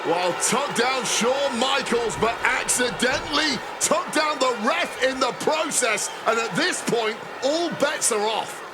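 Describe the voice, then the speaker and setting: A man giving dramatic, emphatic, excited play-by-play as a wrestling commentator. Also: very high voice, slow pace, loud music behind the voice. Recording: loud arena crowd and noise. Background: roaring arena crowd.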